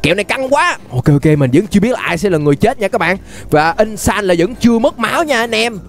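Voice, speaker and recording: A young man with a southern Vietnamese accent giving excited, exclamatory gameplay commentary, often repeating phrases as he reacts. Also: high voice, fast talker, faint music behind. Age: young adult. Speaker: gaming YouTuber, host. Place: indoors at a gaming setup. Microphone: desk microphone close to the face.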